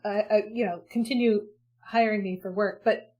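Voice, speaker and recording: A woman's voice: mid-range voice, fairly even intonation, moderate pace, a little mellow, muffled recording, soft background music. Earnest, chatty woman interview guest. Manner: earnest, optimistic, chatty with many restarts. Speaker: guest, communication facilitator. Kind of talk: conversational interview.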